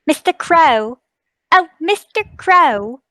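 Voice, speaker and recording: A woman's voice: high voice, lively intonation, slow, sharp tone, dry recording, voice pushed up, heavily acted. A woman playing a kindly, inquisitive young daughter of a landlady, coaxing and fussing sweetly in a radio-play table read. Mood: cheerful.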